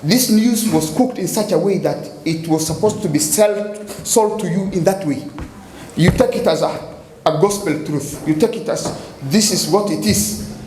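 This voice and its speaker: A man's voice: high-pitched, moderate pace, a little mellow. A man from Kenya with a Kenyan accent, speaking on a festival stage as a poet who explains his verse and often repeats words while searching for them. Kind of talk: spoken explanation of poetry with recitation. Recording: echoey live stage with faint background noise.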